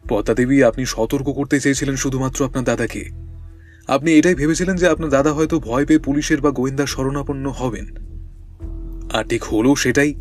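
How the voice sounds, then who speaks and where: An actor playing a shrewd, composed detective in an audio drama, speaking deliberately and formally as he explains. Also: mid-range voice, close to an everyday voice. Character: shrewd, composed male detective unravelling a murder, husband. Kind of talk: Bengali audio drama acting.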